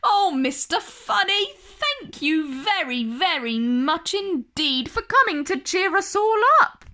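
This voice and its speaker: A woman's voice: very high voice, sing-song, slow, bright, forceful tone, pitched above the performer's own voice, heavily acted. A woman voicing a male zookeeper in a children's storybook, apologetic and grateful, with an exclaiming delivery. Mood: giggling, grateful.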